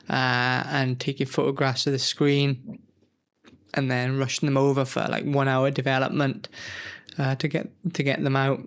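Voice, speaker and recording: Unwell man with a gravelly, fry-laden voice, mid-pitched, leafing through old magazines and rambling to camera with plenty of self-corrections. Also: fairly bright tone. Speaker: gaming channel host. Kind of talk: casual nostalgic commentary.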